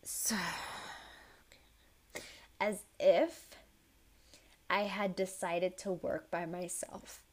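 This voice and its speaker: A young woman hosting a casual advice podcast, blunt and chatty, with a tired, raspy voice as she reads listener stories aloud. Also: on the low side, steady tone, extremely slow, pauses often. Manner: blunt, chatty, swears freely. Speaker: podcast host.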